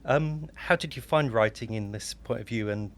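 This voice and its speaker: A man's voice: medium pitch, normal speed, fairly bright tone. A man interviewing a guest with considered, conversational questions.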